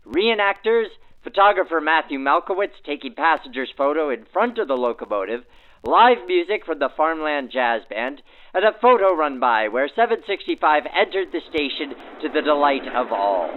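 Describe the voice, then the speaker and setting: A man narrating in a theatrical, grandly promotional 1940s radio announcer style, through a vintage radio filter. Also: fairly high pitch. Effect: backdated 1940s radio filter. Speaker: host and narrator. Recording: tinny band-limited 1940s radio sound.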